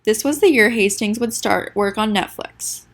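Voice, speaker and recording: A young woman presents a school project in a congested, nasal voice, with a gravelly fry running under her words. She reads from a prepared script, tripping over a word here and there and starting again. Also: medium pitch, moderate pace. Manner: reads a prepared script with small stumbles and restarts. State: sick, congested. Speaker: student presenter. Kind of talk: reading a class presentation.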